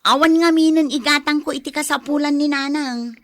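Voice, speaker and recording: A woman's voice: high voice, steady tone, brisk, no room sound, studio quality, natural voice. A woman playing a weary, exasperated wife who looks after her ailing mother in a radio drama.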